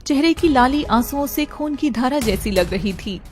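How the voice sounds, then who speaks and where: A woman's voice: sits high, animated, normal speed, darkish tone. A woman narrating a scripted story in a dramatic storytelling style.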